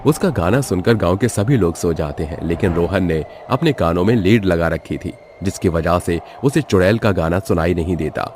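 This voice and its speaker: A man's voice: deep voice, expressive, normal speed, hardly any pauses, darkish tone, a little hiss or hum. A man narrating a scripted horror moral tale as a storyteller.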